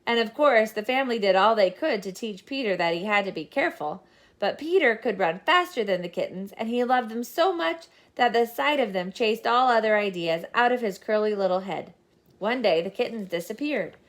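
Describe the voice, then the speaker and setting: A woman reading a children's storybook aloud as scripted, expressive narration. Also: medium pitch, moderate pace. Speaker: storybook reader.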